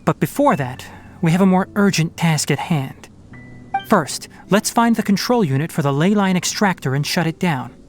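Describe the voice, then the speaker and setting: An actor playing a calm, analytical young forest ranger scholar in a video game, explaining things in a measured way. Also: male voice, a little high, faint music behind, natural voice. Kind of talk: video game cutscene voice acting.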